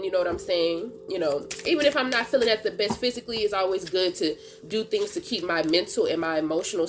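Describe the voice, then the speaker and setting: A woman with a stuffy nose from a cold, chatting casually in as she gives a tarot reading, slangy and laughing often. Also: fairly low pitch. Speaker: tarot reader and channel host.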